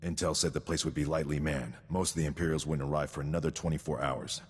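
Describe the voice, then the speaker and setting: An extremely deep male voice as a rigid, dutiful game sergeant. He speaks with formal precision and cold devotion to victory. The sound carries long hall reverb and a rumbling noise. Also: steady tone, quick pace. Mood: stoic. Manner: formal, precise, recites statistics. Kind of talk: scripted video game acting.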